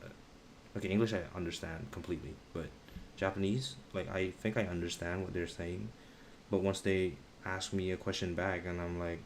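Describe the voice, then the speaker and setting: A young man speaks in a deep, low register during a relaxed live stream chat. His talk is slangy and halting, full of restarts as he searches for what to say. A faint hiss of noise sits beneath the voice.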